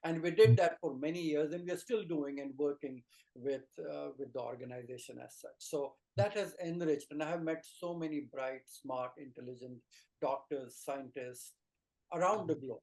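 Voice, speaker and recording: A man's voice: on the high side, moderate pace, warm tone, low-quality recording. A middle-aged man with an Indian accent, an Ayurvedic teacher explaining earnestly as an interview guest, building his points in short phrases and lists. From rural India.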